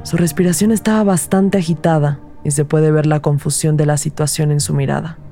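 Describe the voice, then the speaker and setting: A woman's voice: low-pitched, steady tone, brisk, warm tone, soft background music. A woman playing an observant, empathetic rookie detective who narrates an audio drama in the first person. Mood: somber, observant.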